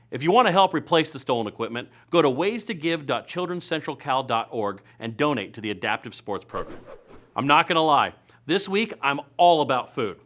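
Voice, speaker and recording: A man's voice: fairly high pitch, fairly bright tone. A man hosting a web show in a scripted, playful comedic style, leaning on words for emphasis. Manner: playful, punchy delivery with emphatic stretched words. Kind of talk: upbeat scripted comedic news and events presenting.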